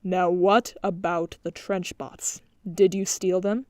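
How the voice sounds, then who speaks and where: A woman voicing a stern male robot detective in a clipped, formal, flat way. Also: on the low side, takes it slowly, no echo, studio quality. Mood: demanding.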